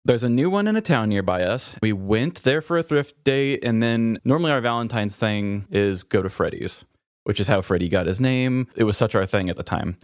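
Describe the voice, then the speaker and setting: A man's voice: lowish voice, expressive, moderate pace, no room sound, clean studio sound. A man co-hosting a comedy podcast, telling casual self-deprecating stories and acting out bits of dialogue. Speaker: podcast co-host.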